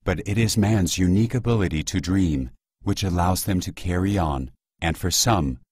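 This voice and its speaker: A man playing the solemn narrator of an audio play, reading literary prose in a measured way. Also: sits low, darkish tone, breathy edge, no room sound, studio quality. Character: solemn, literary male narrator of a surreal drama. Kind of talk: scripted dramatic narration.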